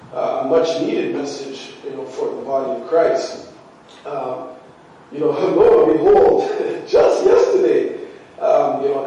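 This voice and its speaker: A man's voice: medium pitch, warm tone, lo-fi sound, a little hiss or hum. A man preaching a sermon, his voice nasal and stuffy from allergies, chatty and full of "you know" fillers. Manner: conversational, heavy use of "you know" fillers. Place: church sanctuary. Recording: very echoey church from far off.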